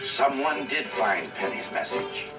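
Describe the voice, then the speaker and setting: A man narrating a children's read-along record in a dramatic, deliberate storytelling manner. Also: medium pitch, sing-song. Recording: distant vinyl record played in room, music.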